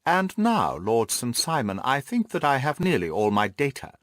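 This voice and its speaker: A man's voice: mid-range voice, huge pitch swings, normal speed, no room sound, clean studio sound. A man voicing a confident, amused detective in an audiobook, precise and teasing in his talk. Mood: assured, businesslike. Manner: wry, precise, self-assured. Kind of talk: audiobook character dialogue.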